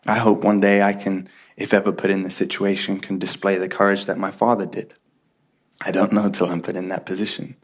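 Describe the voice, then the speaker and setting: Husky low-voiced man, earnest. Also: level tone, a little fast. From Ethiopia and UK. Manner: reflective, earnest, builds in intensity. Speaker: testimony speaker. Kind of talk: personal faith testimony.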